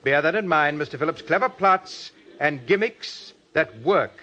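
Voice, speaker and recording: An actor playing a vain, domineering show boss with condescending, formal diction, in 1940s radio drama style. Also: male voice, on the high side, lively intonation, normal speed, pushed and bright. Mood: imperious, brisk. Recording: dull old radio broadcast recording.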